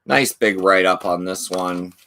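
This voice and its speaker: Man, deep voice, unhurried, reading horror dvd blurbs, voice going hoarse. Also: background noise. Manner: slow, low-key delivery with offhand asides. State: losing his voice. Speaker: channel host. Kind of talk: reading DVD back covers and casual collection chat.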